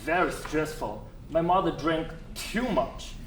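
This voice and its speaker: A man on stage playing a polite, hesitant older widower with a halting, formal, understated manner. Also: on the high side, lively intonation, a bit bright. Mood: apologetic, subdued. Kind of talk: stage play acting. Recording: roomy stage recording, faint noise.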